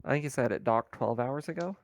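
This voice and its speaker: A man's voice: mid-range voice, fairly quick, slight background noise. A man chatting casually as a player at a tabletop role-playing game, sometimes speaking for his character.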